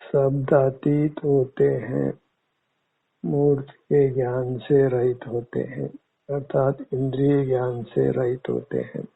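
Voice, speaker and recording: A man teaching guided meditation in measured, formal, instructive words. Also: mid-range voice, level tone, creaky, husky. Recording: muffled phone-like narrow-band audio.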